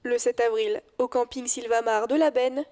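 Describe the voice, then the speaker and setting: A woman's voice: fairly high pitch, steady tone, moderate pace, dark tone. A woman reading scripted news narration as a reporter.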